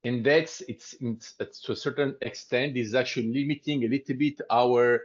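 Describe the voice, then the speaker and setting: Guy on a group call, mid pitch, keeps restarting sentences, muffled audio. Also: steady tone, normal speed. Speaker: panel participant. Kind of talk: online group discussion. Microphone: video call.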